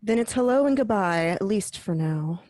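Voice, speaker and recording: A woman as Batgirl, coy and mellow. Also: mid-range voice, animated, normal speed, dry recording, lightly acted. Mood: playful. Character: Barbara Gordon/Batgirl, young woman, breezy and coy. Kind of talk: amateur radio play reading.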